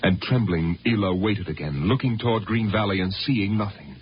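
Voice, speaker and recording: An actor narrating a 1950s radio drama as a grave, solemn and theatrical storyteller. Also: male voice, fairly low pitch, moderate pace, a little hiss or hum, close to an everyday voice. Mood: solemn, dramatic. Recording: dull 1950s radio recording with loud music.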